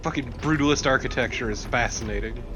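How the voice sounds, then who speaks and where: A man's voice: mid-range voice, fast, sharp tone, a little hiss or hum. A man co-commentating a video game in casual, joking, profane banter. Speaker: co-commentator on a let's play. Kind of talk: casual banter over gameplay.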